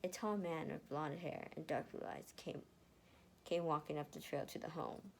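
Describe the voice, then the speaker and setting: A woman's voice: low-pitched, normal speed, creaky, slight background noise. Informal woman reading and chatting. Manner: informal, stumbles over reading. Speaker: fan fiction author and reader. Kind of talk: story narration and casual chat to viewers.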